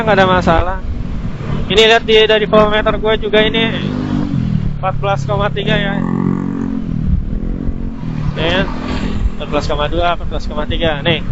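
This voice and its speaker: A man's voice: sits high, moderate pace, pauses often. A man with a casual Jakarta-area Indonesian accent, explaining gear in a colloquial, rambling motorcycle vlog. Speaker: motorcycle vlogger. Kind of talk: casual vlog review, explaining while riding. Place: outdoors on a motorcycle by a road. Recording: muffled, clearly noisy outdoor motorbike recording.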